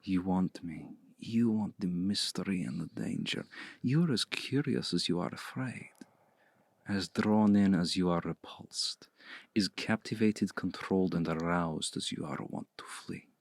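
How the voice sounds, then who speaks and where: Guy doing a low gravelly vampire master, slow and hypnotic, breathy asmr roleplay, super close and dry. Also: no echo. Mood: smug, teasing. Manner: slow, deliberate, hushed, often breathy near-whisper. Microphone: close mic, dry.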